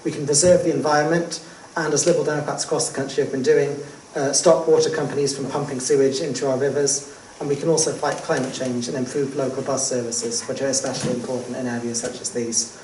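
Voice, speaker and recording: A man making a measured hustings speech as a candidate. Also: mid-range voice, flat delivery, darkish tone. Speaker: election candidate. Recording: roomy hall, distant mic, faint noise.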